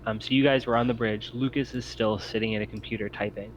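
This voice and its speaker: A man's voice: fairly low pitch, steady tone, fast talker. A man running an online roleplaying game, explaining scenes politely as he improvises the narration. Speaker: game master. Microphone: online voice chat mic. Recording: dull lo-fi mic with faint noise.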